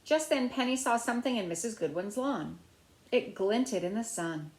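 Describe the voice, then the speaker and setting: A middle-aged woman reading a children's picture book aloud with deliberate phrasing. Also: on the high side, lively intonation, a little mellow. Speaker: storybook reader. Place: small room at home by a window, indoors. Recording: roomy, echoey indoor sound.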